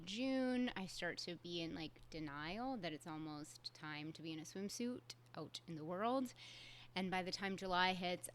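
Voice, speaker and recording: A woman's voice: on the low side, a bit bright. A young adult woman, hosting a solo podcast in a candid, conversational way with casual fillers. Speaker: podcast host.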